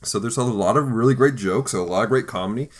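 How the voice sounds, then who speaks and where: A man's voice: medium pitch, fast talker. A man hosting a podcast in a casual, conversational style. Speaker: podcast host. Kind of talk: casual chat.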